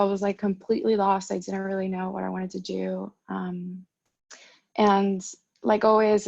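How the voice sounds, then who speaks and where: Young woman from cuba, flat gravelly voice, lots of ums, dull video call sound. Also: medium pitch, no room sound. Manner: earnest, with frequent ums and restarts. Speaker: dental student panelist. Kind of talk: panel talk, personal story.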